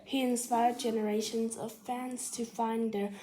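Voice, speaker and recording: Slow, mellow-voiced woman reading aloud. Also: a little high, little pitch movement, low-quality recording. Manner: careful, halting reading with stumbles and self-corrections. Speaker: storybook reader. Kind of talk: reading a children's biography aloud.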